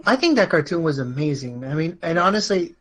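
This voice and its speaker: A man's voice: on the high side, sing-song, a little fast, a bit bright. A man talks casually as a guest on a live show. He feels his way through what he says, thinking aloud. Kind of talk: casual chat.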